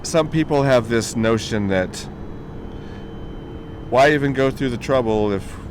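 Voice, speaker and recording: A man hosting a casual interview, chatty and quick to laugh, from the American Southwest. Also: medium pitch. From Southwest US, northern New Mexico and southern Colorado. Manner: friendly, chatty, laughs easily. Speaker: interview host. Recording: noisy background with traffic.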